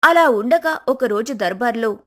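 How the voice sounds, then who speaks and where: Female narrator for scripted tales, pitched a little high. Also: lively intonation, normal speed.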